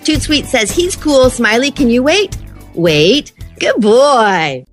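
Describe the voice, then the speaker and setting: A woman with a fairly high voice hosts a radio show about pets. She is gushing and enthusiastic. Her delivery shifts between upbeat scripted introductions and chatty interviewing. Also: sing-song, takes it slowly, music in the background. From southeastern United States. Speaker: host of a pet radio show.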